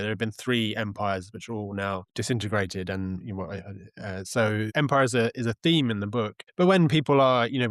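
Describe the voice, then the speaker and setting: A man speaking as an interview guest, explaining things in a thoughtful, halting, conversational way. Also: lowish voice, dry recording. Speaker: interview guest, journalist and author.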